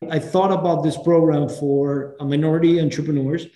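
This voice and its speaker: A man's voice: a little high, flat delivery, normal speed, mellow. A man from Venezuela, speaking earnestly as an interview guest and often restarting his phrases. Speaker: guest, program founder. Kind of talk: casual interview talk. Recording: roomy echo.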